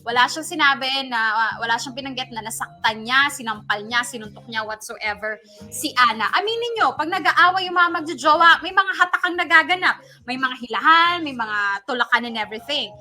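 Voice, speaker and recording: Filipino female radio co-host, high and bright, conversational and expressive, offering commentary to listeners. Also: fairly quick. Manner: expressive, conversational, code-switching between two languages. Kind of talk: casual advice talk, giving commentary to listeners.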